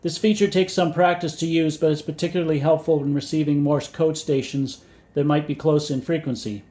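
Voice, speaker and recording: Man, slightly high voice, scripted technical narration, measured, methodical, matter-of-fact. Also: steady tone, dark tone. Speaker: vintage radio hobbyist and presenter.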